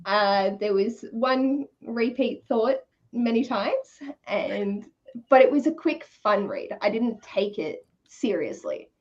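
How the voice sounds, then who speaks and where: A woman's voice: medium pitch, dull audio. A woman speaking informally as a co-host in a casual book discussion.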